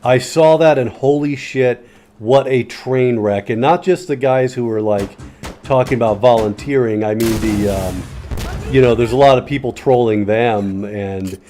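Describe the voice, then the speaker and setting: A mellow-toned man of ordinary pitch chats casually while he plays a shooter game. He rambles, swears freely and breaks off to answer his viewers. Faint gunfire sounds in the background. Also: unhurried, a little hiss or hum. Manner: rambling, profane, reads and answers chat. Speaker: streamer.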